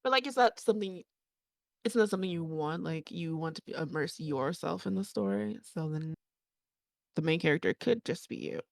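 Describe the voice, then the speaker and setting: A woman on a panel chatting casually and asking questions in an informal, halting way. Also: low-pitched, creaky, no room sound, clean studio sound.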